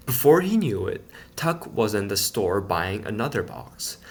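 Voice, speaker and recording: A man reading a children's picture book aloud in an expressive, playful storytelling manner. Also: fairly low pitch, measured pace, creaky.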